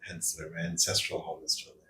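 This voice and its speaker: A man's voice: low voice, monotone, brisk, pushed and bright, a little hiss or hum, rough recording. A man born in India and raised in the United States, a surgeon guest, telling stories in an easygoing way with some fillers. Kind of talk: casual interview chat.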